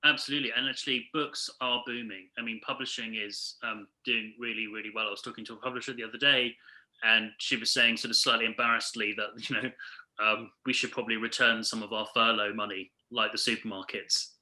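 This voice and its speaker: A man's voice: fairly low pitch, steady tone, fairly quick, bright, forceful tone, poor audio. A man speaking informally as a panel guest on a video call, with hesitant, stop-start phrasing. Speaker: panel speaker, arts editor and book prize judge.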